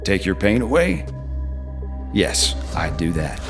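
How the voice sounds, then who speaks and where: A man's voice: sits low, sing-song, soft background music, heavily acted. An actor playing a mysterious male stranger in an audio drama, a sly tempter who teases and coaxes without hurry. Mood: assured. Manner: teasing, coaxing, unhurried.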